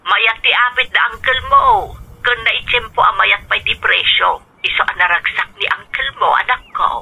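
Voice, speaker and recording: An actress playing a caring older rural mother in an Ilocano radio drama, with a Filipino Ilocano accent and a motherly, expressive manner. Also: a little high, normal speed, gravelly, breathy edge, heavily acted, poor audio. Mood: affectionate.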